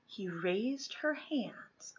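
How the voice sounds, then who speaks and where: A woman reading a children's picture book aloud expressively, with deliberate phrasing, dramatic pauses and emphasis. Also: medium pitch, expressive, dull audio, a little hiss or hum. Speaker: read-aloud storyteller.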